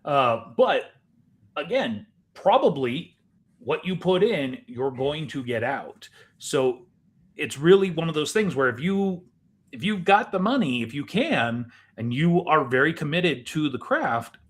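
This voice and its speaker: A man's voice: fairly high pitch, animated, unhurried. A man talking casually as the co-host of a chat podcast.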